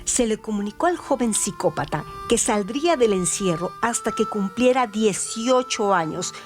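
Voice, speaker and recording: A woman reading a scripted crime story as a radio narrator, with deliberate, dramatic phrasing. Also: medium pitch, lively intonation. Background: music.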